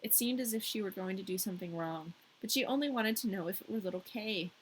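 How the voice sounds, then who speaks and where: A woman's voice: mid-range voice, fast talker, slightly rough, rough recording. A woman hosting a story podcast, reading aloud with playful, irreverent casual asides.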